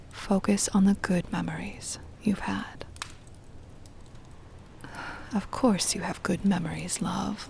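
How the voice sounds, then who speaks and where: Woman acting a slow, whispering possessive vampire mistress. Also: lowish voice, a little mellow, slight background noise. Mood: soothing, coaxing. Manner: whispery, breathy, tender, formal old-fashioned phrasing. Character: centuries-old possessive, doting female vampire mistress. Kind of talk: intimate ASMR roleplay, scripted dramatic monologue.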